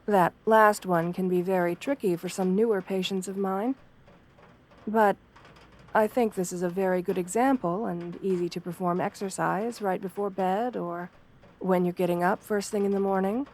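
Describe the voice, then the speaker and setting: Soft-spoken, gentle wolf-girl therapist played by a woman. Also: medium pitch, steady tone, close to an everyday voice. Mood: patient, reassuring. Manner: soft-spoken, soothing, measured, reassuring. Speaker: therapist character speaking to a patient. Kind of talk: ASMR roleplay, guided affirmations.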